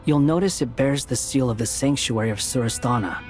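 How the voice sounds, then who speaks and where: An actor playing an aloof, sardonic young scholar with a dry, curt delivery in a game cutscene. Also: male voice, mid-range voice, fairly quick, close to an everyday voice. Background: game soundtrack music.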